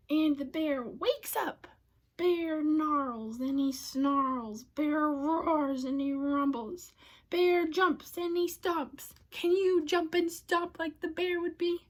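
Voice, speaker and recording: A woman reading a storybook aloud to children, expressively, often dropping to a hush and addressing her listeners. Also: high voice. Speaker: storybook reader. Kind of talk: children's read-aloud narration.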